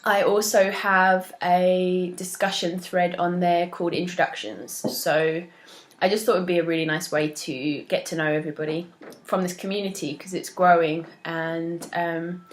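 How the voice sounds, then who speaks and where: A young woman hosting a knitting podcast, chatting casually and a little stuffed up from a cold, with many ums and soft laughs. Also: lowish voice, fairly even intonation, normal speed. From moved to Australia from elsewhere. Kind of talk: casual solo chat to camera.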